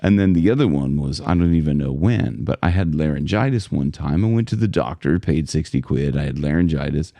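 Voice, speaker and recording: Male vocal coach, very low and gravelly, rambling casual delivery. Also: expressive, a little fast, hardly any pauses, dark tone, no room sound. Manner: rambling, conversational, many restarts. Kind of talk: casual talk to camera.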